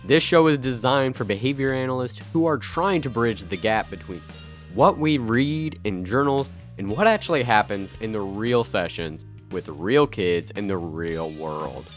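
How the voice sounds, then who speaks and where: A man in his thirties hosting a podcast, speaking with a raspy, nasal voice from a head cold, earnest with bursts of playful energy. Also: fairly low pitch, animated, unhurried, soft background music. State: congested with a cold, stuffy and nasal. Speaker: podcast host. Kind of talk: scripted podcast monologue.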